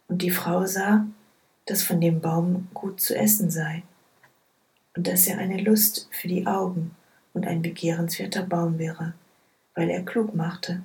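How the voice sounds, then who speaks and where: A woman reading Bible passages aloud in a soft, hushed, measured way. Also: fairly low pitch, creaky, rough recording. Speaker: scripture reader. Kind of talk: slow, quiet Bible reading.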